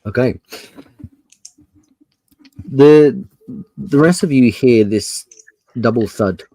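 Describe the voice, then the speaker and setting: A man running a tabletop roleplaying game, narrating scenes in a measured, descriptive and polite way. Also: mid-range voice, warm tone, no echo, rough recording. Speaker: game master.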